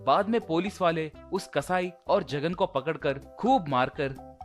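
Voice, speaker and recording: A man's voice: fairly high pitch, unhurried, faint music behind. A man narrating a scripted folk tale as a storyteller.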